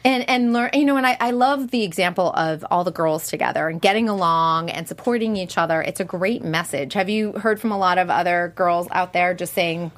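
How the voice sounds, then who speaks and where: A woman's voice: on the low side, a little fast, hardly any pauses. Chatty, effusive woman interviewer. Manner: chatty, effusive, acts out quoted remarks. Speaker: show host interviewing guests. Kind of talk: casual interview chat.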